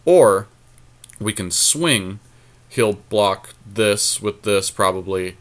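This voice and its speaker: Male gamer, low voice, casual bantering play-by-play with a co-host, slangy and conversational. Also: slow pace. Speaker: podcast co-host playing a card game. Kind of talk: casual game commentary chat.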